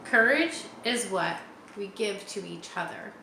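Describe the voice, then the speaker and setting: A woman's voice: medium pitch, animated, unhurried, gravelly. Woman reading a picture book aloud. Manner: gentle, adds chatty asides for kids. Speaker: children's book reader. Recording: echoey room with faint hiss.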